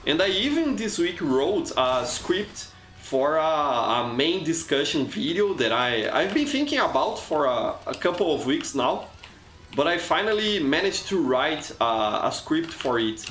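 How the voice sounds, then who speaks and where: A man's voice: fairly high pitch, very animated, a little slow, a bit bright, roomy sound. A man from Brazil, a native Portuguese speaker with a Brazilian accent, chatting casually while streaming a game and often restarting his words. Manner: chatty, frequent restarts and repeated words, reads chat aloud. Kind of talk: casual live gameplay commentary.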